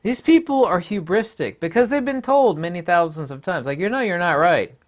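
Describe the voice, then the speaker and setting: A man's voice: high voice, lively intonation, normal speed. A man giving an opinionated photography vlog monologue, speaking in an emphatic, blunt and scornful way. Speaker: photography YouTuber.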